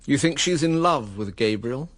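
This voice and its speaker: An actor playing a weary, cynical invalid gentleman who narrates his own story in a radio drama, dry and understated. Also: medium pitch, expressive, normal speed, no room sound, natural voice. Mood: guarded.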